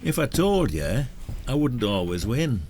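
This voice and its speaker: A dry old retired detective, lively in intonation, heard a little off-mic in a village radio drama acted by a man. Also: mid-range voice, measured pace, distant mic, a little hiss or hum. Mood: wry. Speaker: actor. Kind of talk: scripted audio drama dialogue.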